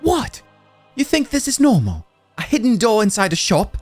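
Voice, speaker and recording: A man voicing an outspoken, excitable young girl who challenges and exclaims in a story. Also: high voice, moderate pace, slightly rough, dry recording, soft background music, pitched above the performer's own voice, exaggerated voice. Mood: incredulous.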